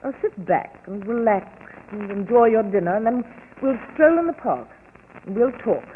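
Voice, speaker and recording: An actress playing an anxious, bitter upper-class wife in a 1940s radio drama, with an overwrought, theatrical delivery. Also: female voice, a little high, expressive, moderate pace, close to an everyday voice. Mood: coaxing. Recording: old radio broadcast, lo-fi and hissy, long reverb.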